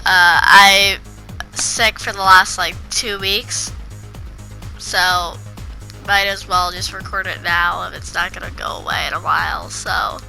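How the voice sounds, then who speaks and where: Congested woman with a super bright voice talking over her game, rambly, faint music behind. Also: on the low side, fairly even intonation, slow pace, creaky, rough recording. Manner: rambling, with frequent restarts and filler. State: congested from a cold. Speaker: gaming video creator. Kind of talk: casual voice-over commentary on gameplay.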